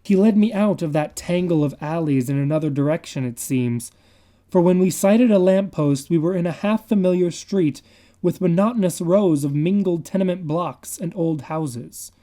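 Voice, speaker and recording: A man giving a dramatic reading of a horror story in a measured, confiding manner. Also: on the high side, mellow. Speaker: reader.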